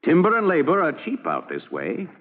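Vintage radio sound, muffled and reverberant. a middle-aged frontier showman and father, hopeful and persuasive, with homely turns of speech and very lively intonation. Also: a little high, normal speed. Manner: folksy, persuasive. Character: hopeful, folksy father running a travelling theater troupe. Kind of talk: 1940s radio acting. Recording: muffled old radio broadcast, reverberant hall.

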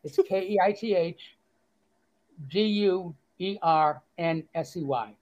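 A man's voice: high voice, takes it slowly, a little mellow, low-quality recording. A man with a Boston accent, an author guest reading his own story aloud and chatting with good humor. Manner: good-humored, self-deprecating, with fillers. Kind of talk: reading aloud and casual interview chat.

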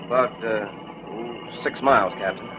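A man's voice: medium pitch, normal speed, a little hiss or hum, lightly acted. An actor playing a wisecracking, grumbling working-class newspaperman in 1940s radio drama, with streetwise slang and a sardonic way of talking. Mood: curious, friendly. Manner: sardonic, colloquial. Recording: muffled vintage radio recording.

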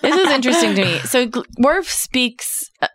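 A woman's voice: high-pitched, big pitch swings, moderate pace, fairly bright tone, no room sound, studio quality. A woman co-hosting a casual comedy podcast, joking and rambling as she talks over the others. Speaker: podcast co-host. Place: Los Angeles.

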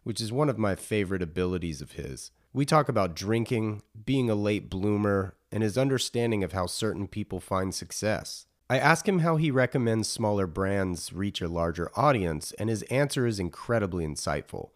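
A man's voice: on the low side, lively intonation, moderate pace, hardly any pauses. A man hosting a podcast, speaking as a presenter and interviewer. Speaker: podcast host. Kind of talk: scripted narration and interview.

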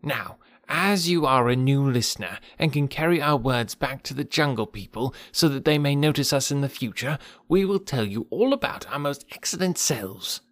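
A man's voice: expressive, moderate pace, few pauses, no room sound, lightly acted. A man voicing a chattering, boastful mob of jungle monkeys in a storybook reading. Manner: shrill boastful shouting.

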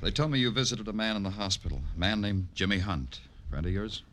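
An actor playing a world-weary, brooding police detective in an old radio crime drama, speaking in terse, hard-boiled lines. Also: male voice, fairly low pitch, lively intonation, fast, a bit bright, slight background noise. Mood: businesslike. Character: world-weary male police detective, brooding, narrating his own cases. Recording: old radio broadcast with music.

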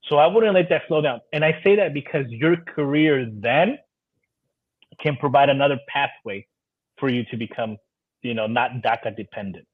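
A man's voice: mid-range voice, moderate pace, breathy edge. A man in his thirties speaking casually as a podcast guest, over dull, phone-like audio. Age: 30s. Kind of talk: casual conversation, giving encouraging advice.

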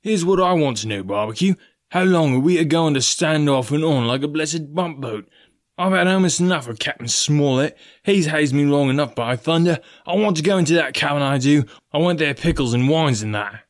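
A man voicing an impatient, greedy pirate coxswain from a storybook, growling complaints in rough sailor speech. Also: a little high, animated, moderate pace, pitched above the performer's own voice, close to an everyday voice. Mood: impatient grumbling.

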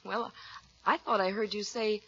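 A woman's voice: mid-range voice, fairly even intonation, moderate pace, fairly bright tone, husky. A woman presenting a scripted 1940s radio advertisement in a polite, careful manner. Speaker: commercial co-presenter. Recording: dull vintage radio recording.

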